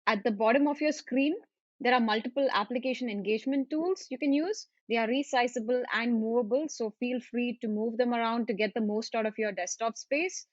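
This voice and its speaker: Webinar host, a woman with a higher voice. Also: a little fast, no echo. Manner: formal, scripted, occasional fillers. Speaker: webinar host, value manager. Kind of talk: webinar moderation. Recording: dull, dry audio.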